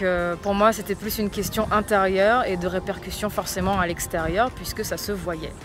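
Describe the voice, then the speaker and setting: A young woman from Portugal with a light northern Portuguese accent, a fitness coach telling her own story in a chatty vlog, with frequent fillers. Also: medium pitch.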